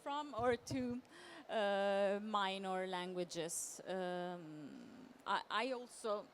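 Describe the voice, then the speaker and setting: A woman giving a semi-improvised conference presentation as a literary translator, halting and often repeating words as she searches for phrasing. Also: mid-range voice. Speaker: literary translator, panel speaker. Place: live talk at a book fair event. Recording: clear background noise.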